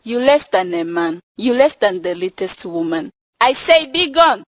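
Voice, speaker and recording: A woman's voice: mid-range voice, lively intonation, a little slow, no echo, clean studio sound, close to an everyday voice. A young woman acting a gleeful, scornful senior wife of a village chief in a stage play, bold and declamatory. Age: young adult. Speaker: student actor. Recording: faint music behind the voice.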